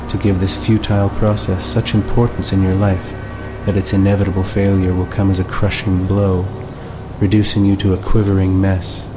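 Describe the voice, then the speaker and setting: Low, even-voiced man narrating over music. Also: rough recording. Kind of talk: scripted reflective monologue.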